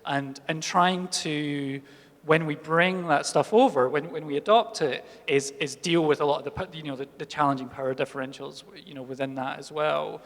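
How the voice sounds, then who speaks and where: A man near forty talks on a festival panel, thinking out loud and restarting his sentences often. His voice sits a little high for a man. A long hall reverb trails behind his words. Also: steady tone, normal speed. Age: late 30s. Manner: thoughtful, rambling, many restarts. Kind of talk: casual panel talk.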